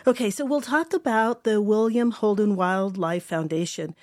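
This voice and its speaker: A woman's voice: medium pitch, normal speed, no echo. Woman host asking friendly questions, dry studio sound. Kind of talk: interview.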